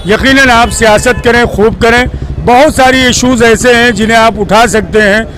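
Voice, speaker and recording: A man's voice: very high voice, fairly even intonation, moderate pace, a bit bright, a faint crowd, a little hiss or hum. A man, working as a news channel reporter and speaking formally and courteously in an outdoor interview. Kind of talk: street interview and sign-off.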